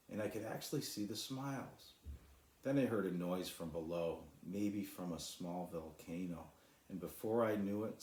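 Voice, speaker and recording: A man voicing a sad, weary, gentle female cloud in a children's storybook, apologetic and wistful. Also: lowish voice, lightly acted. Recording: roomy echo.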